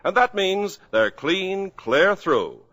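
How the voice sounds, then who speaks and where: A man's voice: sits high, sing-song, slow, a bit bright. A man announcing on a 1950s radio program in an emphatic, upbeat manner. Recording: old radio broadcast with faint music.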